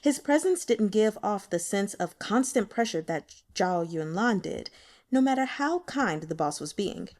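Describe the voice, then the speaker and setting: Woman audiobook narrator, very lively intonation, expressive fiction reading. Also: medium pitch, measured pace. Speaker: audiobook reader.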